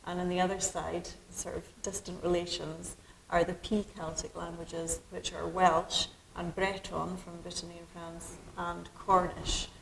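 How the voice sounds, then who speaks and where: A middle-aged Scottish woman, a film director, answering interview questions thoughtfully with many pauses and restarts. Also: fairly low pitch, level tone, gravelly, fairly bright tone, a little hiss or hum, poor audio. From Scotland. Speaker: documentary director.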